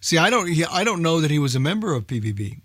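A man's voice: a little high, very animated, quick pace, a bit bright. An older man chatting casually as a knowledgeable old-time radio enthusiast, hesitant and thinking aloud. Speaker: old-time radio expert on a group call. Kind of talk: casual group discussion.

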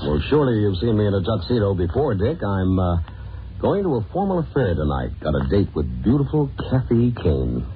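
A man's voice: lowish voice, sing-song, brisk. A man playing a suave, self-assured wealthy bachelor hero in old radio drama style. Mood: suave, pleased. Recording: tinny old recording, faint noise.

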